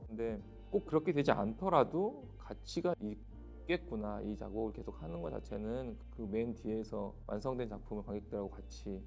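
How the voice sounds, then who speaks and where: A man's voice: mid-range voice, creaky, mellow. A man from South Korea, a stage director, giving reflective interview answers in long, explanatory sentences. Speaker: theatre and musical director, interviewee.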